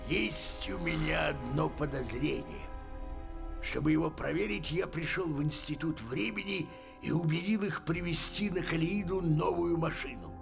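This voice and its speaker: A man voicing a huge, boisterous, excitable alien monster archaeologist in an animated film, very theatrical in delivery. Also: normal speed, gravelly, over music. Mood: dramatic. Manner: theatrical, swinging from booming exclamations to conspiratorial murmurs.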